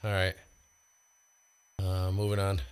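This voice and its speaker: A man's voice: deep voice, completely flat delivery, slow pace, clear, resonant tone. A man hosting an amateur radio live stream, trading call signs and making grumbling, exasperated asides.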